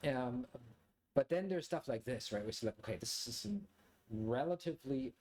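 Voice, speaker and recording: A man from Germany, a native German speaker, giving a casual, reflective monologue to viewers in a rambling, halting way as he thinks aloud. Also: mid-range voice, animated, darkish tone. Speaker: video creator, commentator.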